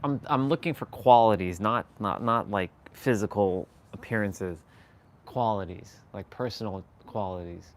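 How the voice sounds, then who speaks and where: A man from Canada chats loosely with a viewer on his live stream. His voice sits fairly low, and he rambles and hunts for words. He laughs often. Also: normal speed. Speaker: livestream host. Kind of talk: casual live-stream chat.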